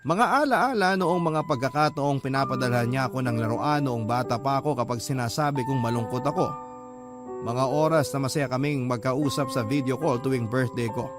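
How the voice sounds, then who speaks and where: A man's voice: mid-range voice, a little fast, over music, close to an everyday voice. A man playing a regretful grown son, reading a sorrowful, reflective scripted narration for radio drama. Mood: sorrowful reflection.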